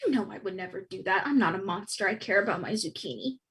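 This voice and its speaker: A woman's voice: mid-range voice, lively intonation, fast talker, fairly bright tone, slightly rough, full character voice. A woman playing an anxious, guilt-ridden young co-op worker in a comedy table read, earnest, stammering and overwrought. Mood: panicky, defensive.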